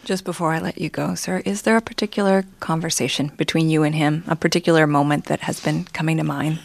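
A woman's voice: low voice, fairly even intonation, fast talker, creaky, dry recording. A woman with a Canadian accent hosting a political interview programme as a broadcast interviewer. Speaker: radio host and interviewer.

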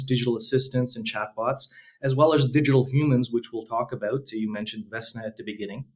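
A man with a Canadian accent, speaking as a guest in an interview. His delivery stays flat and even, with little rise and fall. He talks steadily and promotionally about his work. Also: mid-range voice. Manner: steady, businesslike and promotional, with some restarts. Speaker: startup co-founder, guest. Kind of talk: conversational interview.

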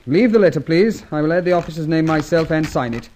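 An actor playing a wary, harried British government official in a vintage radio drama, clipped and formal with an exasperated edge. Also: male voice, on the high side, expressive, quick pace, darkish tone, natural voice. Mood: formal, dictating. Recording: noisy old radio recording with clicks.

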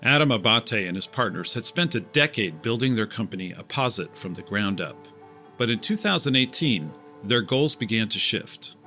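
Bright-voiced male podcast host. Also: on the low side, normal speed, faint music behind. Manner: clear, even, polished delivery. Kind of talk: scripted narration and interviewing.

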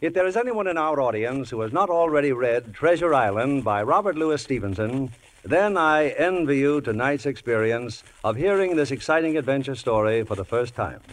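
Old time radio host guy, formal scripted intro, polished, middle pitched voice. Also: lively intonation, normal speed, hardly any pauses, rough recording. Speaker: producer and host.

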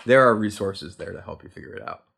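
A man's voice: on the low side, quick pace, creaky, slightly rough. A man, a guest on a podcast, chatting casually and encouragingly about creative work. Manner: relaxed, encouraging, with fillers and restarts. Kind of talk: casual advice chat.

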